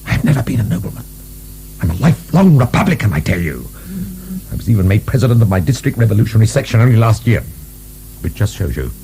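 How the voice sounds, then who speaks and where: A man's voice: fairly low pitch, sing-song, fast talker, creaky, warm tone, a little hiss or hum. A man acting in a radio drama as a proud, defensive middle-aged prisoner of the French Revolution, emphatic and formal. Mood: defensive, stern.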